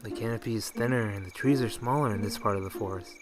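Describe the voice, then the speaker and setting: A man's voice: on the low side, fast, music in the background, lightly acted. A man acting a casual, skeptical older brother in an audio play. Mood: easygoing. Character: older teenage brother, stern but curious, skeptical of creepy stories.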